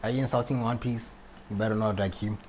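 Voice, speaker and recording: A young man streaming a game live. His voice is fairly low and mellow, and he talks in a slangy, chatty, reactive way. There is faint noise in the background. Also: steady tone, fast. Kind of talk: casual live gameplay commentary.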